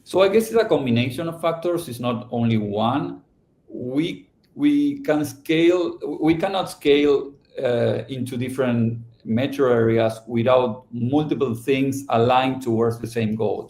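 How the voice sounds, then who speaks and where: A middle-aged man from Argentina, answering podcast interview questions about his company, halting and restarting often. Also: medium pitch, darkish tone, reverberant. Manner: halting, with many restarts and fillers. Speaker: company founder and CEO.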